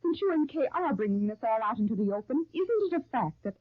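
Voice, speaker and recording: A defiant teenage daughter on old radio. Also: female voice, on the high side, sing-song, quick pace, dry recording. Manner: defiant, accusing. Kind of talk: 1930s radio soap acting. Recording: tinny 1939 radio broadcast recording.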